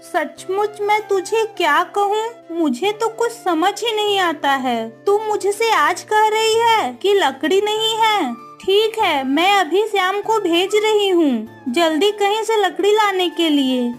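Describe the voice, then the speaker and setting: कार्टून कहानी में नौकरों को डाँटती, रोबदार और बेसब्र ज़मींदार की पत्नी का किरदार निभाती एक महिला।. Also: female voice, extremely high pitch, animated, slow pace, hardly any pauses, faint music behind, heavily acted. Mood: scolding, impatient. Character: bossy, impatient landlord's wife scolding her servants. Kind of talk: cartoon moral story acting.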